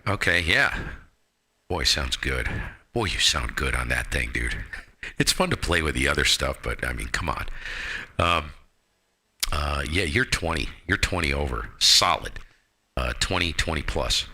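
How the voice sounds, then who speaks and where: A man's voice: a bass voice, expressive, a little slow, pushed and bright, slightly rough. A man chatting casually over amateur radio, laid-back and colloquial, with folksy exclamations. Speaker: amateur radio operator on air.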